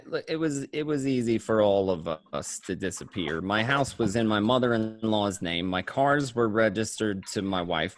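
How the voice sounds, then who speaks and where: A man playing a weary mobster turned informant, telling his story in a conversational, streetwise, halting way. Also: fairly low pitch, a bit bright, no room sound, studio quality. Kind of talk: first-person crime narration, acted table read.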